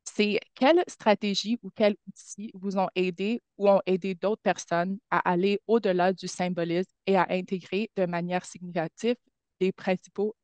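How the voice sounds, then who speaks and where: A woman moderating a webinar panel who asks her questions clearly, with small restarts, over a video call. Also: on the low side, a little mellow, no echo. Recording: dull lo-fi sound.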